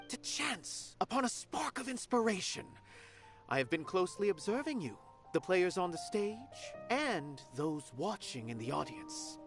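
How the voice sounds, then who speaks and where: A lofty, musing theater observer. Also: male voice, sits high, full character voice. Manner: lofty and condescending. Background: game music.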